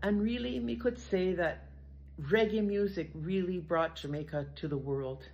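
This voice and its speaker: Woman, senior, Canadian-raised, informal Patois to camera. Unhurried and deliberate, slightly muffled outdoor phone sound. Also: fairly low pitch, darkish tone. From northern Canada. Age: older, 60s or 70s. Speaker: retired teacher living in Jamaica. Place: outdoors in rural garden greenery. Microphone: phone at arm's length. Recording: muffled phone audio with some noise.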